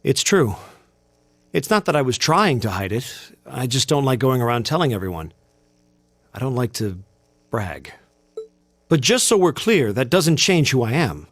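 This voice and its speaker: A proud young man, a commoner student from a video game, speaks with earnest, defensive precision. His pitch moves animatedly, and the recording is close and dry. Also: big pitch swings, a little slow, pauses often, no echo. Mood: contrite, earnest.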